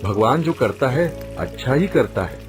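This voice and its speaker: A man's voice: medium pitch, expressive, measured pace, over music, close to an everyday voice. A man playing a greedy, jealous and scheming village street-food seller in a cartoon story. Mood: gleeful, smug. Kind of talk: Hindi cartoon story voice acting.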